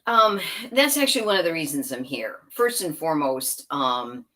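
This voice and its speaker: Female interview guest, low voice, loose and chatty. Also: very animated, moderate pace, a bit bright. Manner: chatty, laughing, rambling with asides. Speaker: guest, software company executive. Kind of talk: conversational interview.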